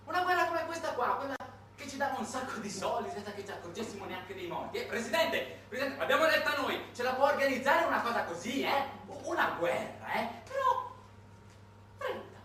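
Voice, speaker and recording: Echoey theatrical male monologist, animated delivery. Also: very high-pitched, fairly quick. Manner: dramatic delivery swinging between hushed and shouted. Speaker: stage monologist, writer-performer. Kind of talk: theatrical satirical narrative monologue. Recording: echoey, noisy recording from a distance.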